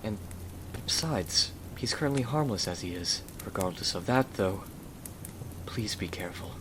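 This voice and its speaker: Guy playing a sweet earnest victorian husband, lowish voice, asmr roleplay, rain and clear noise behind him. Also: expressive, normal speed, natural voice. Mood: tender, concerned. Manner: earnest, courteous, tender. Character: gentle, devoted young Victorian gentleman husband.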